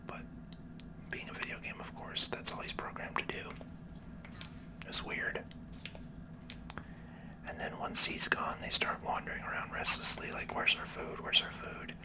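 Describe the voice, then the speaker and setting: ASMR gaming commentator. Breathy whisper throughout, casual and unhurried, musing softly through the play. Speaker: video game player and commentator.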